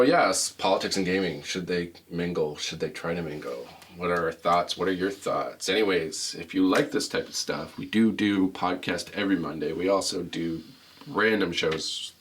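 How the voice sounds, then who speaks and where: Deep-voiced joking man podcast host. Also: normal speed, fairly bright tone, slight background noise. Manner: jokey, laughs often, rambling. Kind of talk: casual gaming podcast chat.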